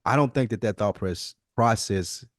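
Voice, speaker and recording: Male co-host, fairly low pitch, casual debating style, dry sound. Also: moderate pace, no echo. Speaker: podcast co-host. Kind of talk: casual hip-hop debate.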